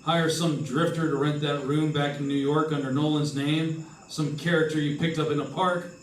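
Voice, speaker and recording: A man's voice: on the high side, fairly even intonation, normal speed, slight background noise, natural voice. A man playing a wry, hardboiled investigator, narrating and talking in clipped old-time radio detective style. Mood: brisk, sardonic. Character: hardboiled, wry male insurance investigator narrating his case. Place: live stage performance. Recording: echoey live stage, distant mic, music behind. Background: music.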